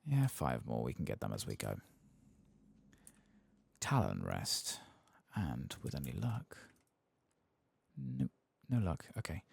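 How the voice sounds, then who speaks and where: A soft-spoken man muttering casual ASMR commentary as he plays a game, with a husky, gravelly voice. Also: a bass voice, wildly swooping pitch, takes it slowly, pauses often, no room sound. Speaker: gameplay streamer.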